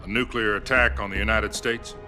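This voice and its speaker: A wary American president acted by a man in a tense game cutscene. His voice sits a little low and he questions bluntly, with loud music underneath. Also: steady tone, brisk, lightly acted. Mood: wary, challenging. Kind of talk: cinematic video game acting.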